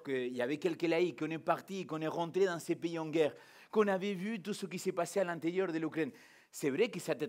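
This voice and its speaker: A male pastor from Argentina, native in Spanish, speaks in a high-pitched voice. He preaches informally and interactively, addressing his listeners directly with animated rhetorical questions. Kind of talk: informal interactive sermon to a congregation.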